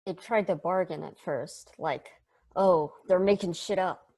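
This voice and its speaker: A woman's voice: fairly low pitch, a little slow, a little mellow. Brash, slangy space probe Juice played by a woman. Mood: wistful, sardonic. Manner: slangy, sweary, casual. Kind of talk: stage play table read.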